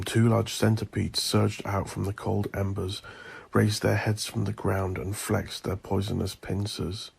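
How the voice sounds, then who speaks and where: A man with a low, raspy voice narrates dark horror fiction. His delivery is ominous and theatrical, with deliberate phrasing and a heavy creak in the tone. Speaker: audio drama narrator and host.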